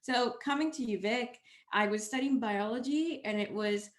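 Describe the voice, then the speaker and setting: A woman's voice: a little high, moderate pace, reverberant. A young woman from the Dominican Republic, a startup co-founder answering interview questions with lots of energy. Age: young adult. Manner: energetic, lively.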